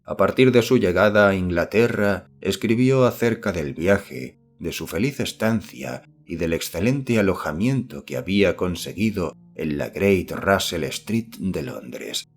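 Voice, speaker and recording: Low-pitched man narrating an audiobook. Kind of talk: scripted literary narration of a horror novel.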